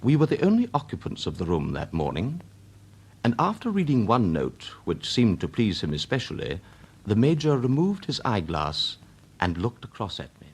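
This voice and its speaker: A Victorian English gentleman narrator in a radio drama. His low voice is husky and moves with lively inflection, yet he stays measured, courteous and faintly dry. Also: exaggerated voice. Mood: measured storytelling. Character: polite, unhurried Victorian gentleman narrator, dryly amused. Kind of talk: British radio drama acting and scripted narration.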